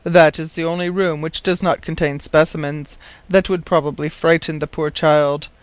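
A man voicing a kindly, absent-minded old professor in a storybook, speaking gently, thoughtfully and with some hesitation. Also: on the high side, little pitch movement, normal speed, clear, resonant tone, no echo, natural voice. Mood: thoughtful, doubtful.

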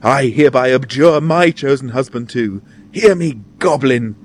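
A man's voice: medium pitch, normal speed, pushed and bright, a little hiss or hum, voice pushed up, lightly acted. A man voicing an ecstatic, possessive young lady from a storybook, in declamatory archaic speech.

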